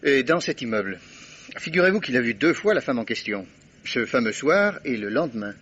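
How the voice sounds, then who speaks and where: An actor playing a polite, persistent private investigator with a husky voice, in an old radio drama. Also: male voice, mid-range voice, big pitch swings, fairly quick, fairly bright tone. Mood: puzzled. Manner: courteous, eager. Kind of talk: 1950s radio drama acting. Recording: dull old radio broadcast.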